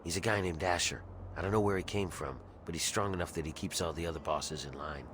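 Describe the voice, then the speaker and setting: A low-pitched, gruff game character, an embittered slave plotting revolt. He talks in a conspiratorial, matter-of-fact way, with clear ambient noise behind him. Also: fast, a bit bright. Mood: grim, matter-of-fact. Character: grim embittered rebel slave man scheming for freedom. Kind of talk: video game character dialogue.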